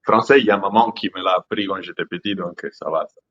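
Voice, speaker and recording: A man from Italy speaking French with an Italian accent, halting and repeating words as he searches for them in a casual live chat. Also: low voice, fairly bright tone, lo-fi sound. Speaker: aquarium product company founder.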